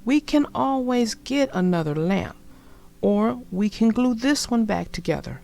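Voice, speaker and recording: A woman voicing a gentle, wise mother bear in a storybook, tender and measured. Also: on the high side, lively intonation, vocal fry. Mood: sad, gentle.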